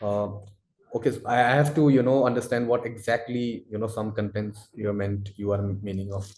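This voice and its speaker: A man answering audience questions as a product expert in a live webinar, speaking haltingly and repeating himself as he explains. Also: fairly low pitch, little pitch movement, a little mellow. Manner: halting, repetitive, hedging delivery. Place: indoor room, webinar call. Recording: roomy, echoey sound.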